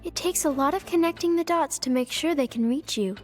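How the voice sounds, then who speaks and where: An actress playing a composed otherworldly guide in a video game, explaining things in a measured, instructive way. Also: female voice, high voice, soft background music, natural voice. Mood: measured, sly. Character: otherworldly woman guide who explains summoning rules, composed. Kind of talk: video game cutscene acting.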